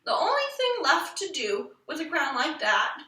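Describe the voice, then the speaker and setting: Bright, high-pitched woman reading a storybook. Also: big pitch swings, moderate pace. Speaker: children's book reader. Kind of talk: expressive storybook narration. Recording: roomy echo, distant from mic.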